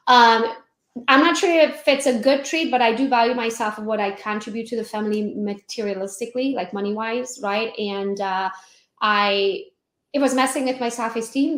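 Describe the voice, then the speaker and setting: A woman from Transylvania with a Hungarian accent, telling her life story as an interview guest in a lively, laughing way. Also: mid-range voice, echoey room. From Transylvania, Romania. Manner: animated, laughs often, acts out quotes. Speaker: guest, real estate agent.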